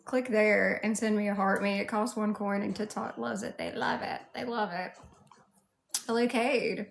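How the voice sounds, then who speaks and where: A woman's voice: a little high, fairly bright tone. A woman with a Southern US accent hosting a casual livestream, chatting and addressing viewers one by one. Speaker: livestream host.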